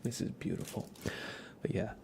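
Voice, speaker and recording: A man livestreaming a game in ASMR style, softly whispering in a relaxed, casual way as he thinks aloud and chats with viewers. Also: normal speed. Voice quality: soft, breathy whisper.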